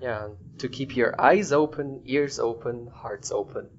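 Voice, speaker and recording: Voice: mid-range voice, unhurried. A podcast host running a casual interview, informal in asking questions and responding. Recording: dull, lo-fi sound.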